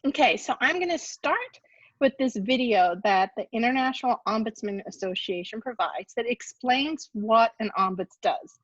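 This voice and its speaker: A woman's voice: fairly high pitch, animated, normal speed, fairly bright tone, dry recording. A woman from Japan whose first language is Japanese, with an American accent, giving a friendly online talk as a university ombudsperson, with plenty of ums. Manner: friendly, chatty, with many ums. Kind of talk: online presentation. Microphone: video call. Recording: dull lo-fi video-call audio.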